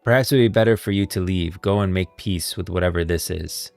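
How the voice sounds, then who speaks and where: A stern fantasy game king, read aloud by a man, talks in a very low pitch with regal authority. Music plays softly behind. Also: a little fast, gravelly. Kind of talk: reading game dialogue aloud.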